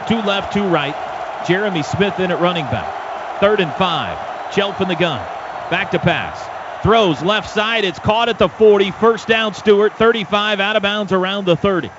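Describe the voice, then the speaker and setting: High-pitched American football play-by-play man, noisy broadcast. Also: a crowd in the background. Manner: excitable, clipped rapid-fire calls. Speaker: radio play-by-play announcer. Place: stadium radio booth. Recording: dull lo-fi broadcast with loud stadium noise. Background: loud stadium ambience.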